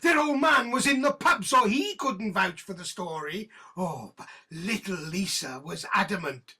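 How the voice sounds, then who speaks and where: Husky, bitter British police detective. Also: female voice, mid-range voice, lively intonation, pushed and bright, exaggerated voice. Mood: angry, bitter. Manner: halting, bitter, emotionally volatile. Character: embittered, guilt-ridden senior female police detective, obsessive and weary. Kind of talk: naturalistic audio drama acting.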